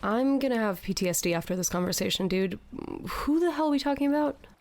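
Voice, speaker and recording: A woman's voice: a little high, animated, quick pace, darkish tone. An actress playing a sardonic, lovelorn woman aboard a tall ship in an audio drama, sarcastic and given to dramatics. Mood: sardonic, exasperated.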